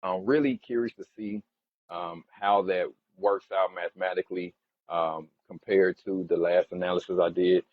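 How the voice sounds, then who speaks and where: A man giving informal explanatory commentary as a financial analyst, haltingly putting things in plain everyday terms, on a dull lo-fi recording. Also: low-pitched, steady tone, no room sound. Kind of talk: informal explanatory commentary over earnings slides.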